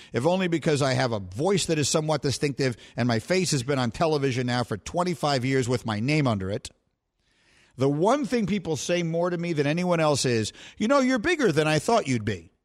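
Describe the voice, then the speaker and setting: A middle-aged man hosting a sports talk show, telling stories with animated self-mocking humor. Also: fairly high pitch. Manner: animated storytelling, laughs at himself.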